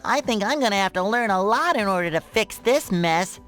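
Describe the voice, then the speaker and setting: A man's voice: high-pitched, animated, moderate pace, bright, forceful tone. A man voicing a childlike, eager and naive sea sponge in a cartoon video game, earnest and gleeful. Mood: excited. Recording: music bed behind voice.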